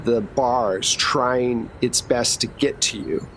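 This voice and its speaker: Male game master, unhurried delivery, descriptive and informal, tabletop horror storytelling, slight background noise. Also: medium pitch, a bit bright, rough recording.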